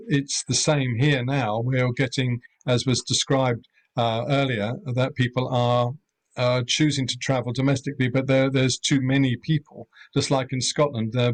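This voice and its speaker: Hesitant man from Europe, measured talk. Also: medium pitch. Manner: measured, hesitant, many fillers. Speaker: rural accommodation owner. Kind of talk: webinar panel talk.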